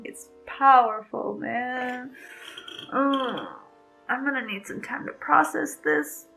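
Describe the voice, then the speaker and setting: A woman's voice: fairly high pitch, poor audio. A young woman in a casual read-along vlog, talking emotionally about a book she is reading, with lots of "like". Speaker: book vlogger.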